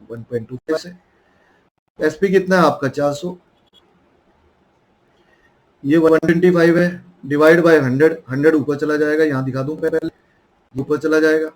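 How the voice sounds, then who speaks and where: A man's voice: fairly high pitch, rough recording. A young man with an Indian accent and a sore throat, teaching a math lesson in a coaxing way and calling his students children.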